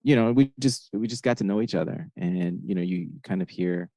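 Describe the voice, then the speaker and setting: A man in his thirties from Honduras telling his story casually as a podcast guest, often pausing to search for words. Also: sits low, dark tone, dry recording.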